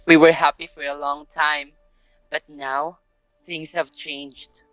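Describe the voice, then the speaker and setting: A man's voice: a little high, level tone, takes it slowly, pushed and bright, no echo, soft background music, poor audio. A man playing a grave, reverent townsman and storyteller in a formal, dramatic reading of a Greek tragedy. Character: grave Theban townsman and messenger, pleading and reverent. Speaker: narrator and chorus actor. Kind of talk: staged readers' theatre of Greek tragedy.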